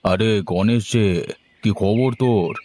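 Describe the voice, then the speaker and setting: Man acting sly, low-voiced village salesman. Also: takes it slowly, a little mellow, slight background noise. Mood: coaxing. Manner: coaxing, scheming, condescending. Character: sly, pushy male village seller of fertiliser and seeds. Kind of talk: cartoon dialogue.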